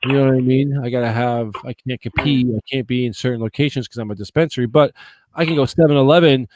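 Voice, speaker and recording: A man in his forties from the Boston area talks in a loose, rambling way, peppering his talk with swearing and "you know what I mean?". His voice sits at a middling pitch and is recorded close and dry, with little room sound. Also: fast talker, a little mellow, dry recording. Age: 40s. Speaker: podcast guest. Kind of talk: casual chat.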